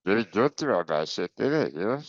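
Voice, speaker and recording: A man co-hosting a podcast, talking in a loose, laid-back way with plenty of tag questions. His voice is very low. The recording is dry and dull, with a lo-fi tone. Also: sing-song, fast talker, no room sound. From Wales. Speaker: podcast co-host. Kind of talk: casual chat.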